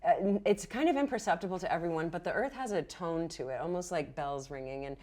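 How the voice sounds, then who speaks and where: A woman's voice: on the low side, fast, a little mellow. A woman running a tabletop game, improvising narration and thinking aloud in an encouraging way. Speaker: game master.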